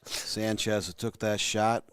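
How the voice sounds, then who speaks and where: A man doing color commentary on a youth soccer game, with a lower voice gone a little hoarse and raspy. He fills gaps with background notes on players. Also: level tone, slow, fairly bright tone. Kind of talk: sports commentary.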